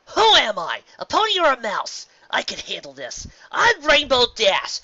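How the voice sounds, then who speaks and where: A man's voice: extremely high pitch, wildly swooping pitch, measured pace, pushed and bright, dry recording, highs cut off, voice pushed up, full character voice. A man voicing a brash, boastful young female pegasus pony with hidden insecurity, in a cocky, emphatic storybook manner. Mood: defiant, boastful. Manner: cocky, emphatic self-pep-talk.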